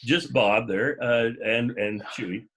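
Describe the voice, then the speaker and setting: A man hosting a casual podcast, chatting in a rambling, jokey way with a husky voice. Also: medium pitch, steady tone, unhurried, a bit bright. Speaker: podcast host.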